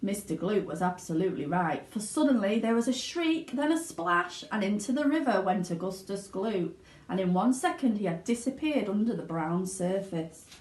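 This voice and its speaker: A woman reading a children's story aloud with dramatic, expressive narration. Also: mid-range voice, normal speed. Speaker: storybook reader, school teaching assistant. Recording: roomy indoor echo.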